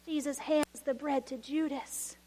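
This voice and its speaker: A woman with a Texas accent preaching in a very high voice. She talks slowly and earnestly, leaning hard on key words. At times her voice sinks almost to a whisper. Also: steady tone, mellow. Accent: Texan. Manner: earnest, emphatic, drops to near-whispers. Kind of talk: sermon.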